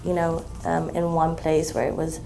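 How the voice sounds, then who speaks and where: A woman in her twenties from Malaysia, a student talking in an interview, relaxed, with many ums and restarts. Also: deep voice, moderate pace, creaky, slight background noise, low-quality recording. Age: 20s. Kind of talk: interview testimonial.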